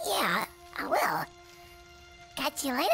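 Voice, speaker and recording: A man's voice: very high voice, sing-song, takes it slowly, bright, forceful tone, faint music behind, heavily acted. An actor voicing an earnest, dim-witted young male squirrel soldier in a video game.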